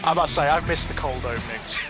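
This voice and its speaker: A man's voice: fairly high pitch, fast talker, music in the background. A male podcast co-host bantering in a wry, playful way with slightly formal phrasing. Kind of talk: casual banter.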